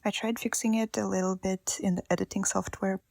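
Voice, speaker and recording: Woman, Russian accent, gentle ASMR voiceover, a touch of vocal fry, dry close mic, self-deprecating. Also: medium pitch, level tone, fairly quick, no echo. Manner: soft, self-deprecating, gently chatty with little laughs. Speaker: nail art creator. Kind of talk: soft-spoken ASMR voiceover.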